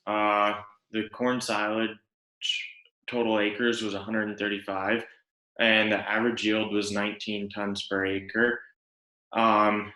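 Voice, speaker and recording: Young male student presenter, Western Canadian, slow and halting, flat intonation, roomy echo. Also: on the low side. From Alberta, Canada. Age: young adult. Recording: echoey room sound.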